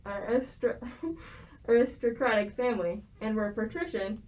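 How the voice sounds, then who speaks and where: A woman's voice: fairly high pitch, lively intonation, normal speed, a little mellow, highs cut off. A young woman, a student, explaining a topic from prepared notes, with frequent hesitations and restarts. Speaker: student guest expert.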